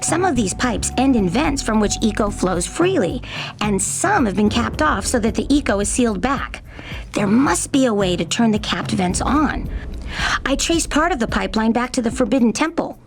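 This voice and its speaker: A woman's voice: a little high, animated, normal speed. A young woman playing a plucky, sassy young mechanic in a video game, with music behind her. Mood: earnest, instructive. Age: young adult. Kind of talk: animated video game acting.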